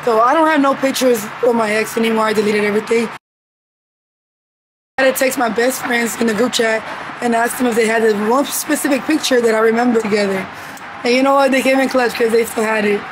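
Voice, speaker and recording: A young woman vlogger chatting casually in slang to a phone camera, her voice still rough and recovering. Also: a little high, steady tone, a little fast, background noise. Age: young adult. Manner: slangy, playful. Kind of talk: casual chat. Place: inside a car.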